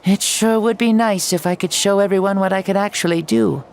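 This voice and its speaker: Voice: medium pitch, fairly even intonation, a little fast, creaky, heavy background noise, lightly acted. An actor voicing a jovial, boastful ancient warrior hero in a game cutscene, talking in a playful, teasing way that turns grandly theatrical.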